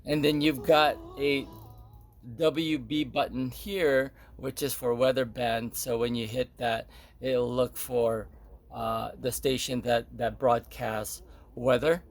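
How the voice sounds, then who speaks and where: Male demonstrator, gravelly fry, mid-pitched, off-the-cuff and hesitant, walking through features step by step. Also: steady tone, slow. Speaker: product demonstrator. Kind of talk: casual unscripted product demo.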